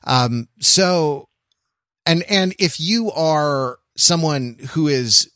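A man co-hosting a podcast, explaining his thoughts in a halting way with many restarts. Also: fairly high pitch, pushed and bright, no echo, clean studio sound. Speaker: podcast co-host. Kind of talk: casual chat.

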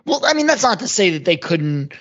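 A man's voice: high voice, sing-song, brisk, fairly bright tone, muffled recording. A man speaking as a podcast panelist in a casual discussion.

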